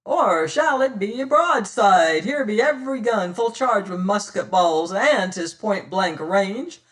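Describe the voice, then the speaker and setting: Bold pirate captain, loud and hearty, sounds a bit far off, read by an audiobook narrator. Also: medium pitch, lively intonation, measured pace, voice pushed up. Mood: menacing. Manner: hearty, swaggering, old-fashioned seaman's talk.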